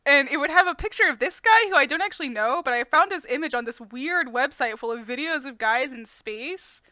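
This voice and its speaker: A woman's voice: sits high, expressive, a little fast, pushed and bright, no room sound, studio quality. A woman improvising a ditzy, lovestruck mommy vlogger in a comedic dub, rambling and giggly with absurd earnestness. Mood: chatty, musing. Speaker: fandub performer.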